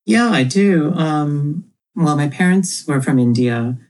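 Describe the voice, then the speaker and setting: A woman's voice: low-pitched, animated, slow pace, a little mellow, low-quality recording. A woman comic creator, raised in Ethiopia, talking thoughtfully as a podcast guest and laughing often. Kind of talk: casual podcast interview.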